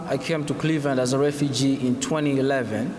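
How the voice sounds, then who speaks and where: A man's voice: medium pitch, fairly even intonation, quick pace, darkish tone. Male speaker from Congo, eager grateful tone, echoey hall. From Democratic Republic of Congo. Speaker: refugee community advocate, commission member. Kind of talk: formal public statement. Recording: echoey hall with faint noise.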